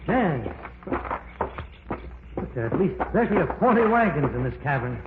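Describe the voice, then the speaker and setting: An actor playing a calm, learned male professor exploring caverns, measured and reassuring, in an old radio drama. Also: on the high side. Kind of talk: 1940s radio acting. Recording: tinny old radio broadcast, noisy, echoey.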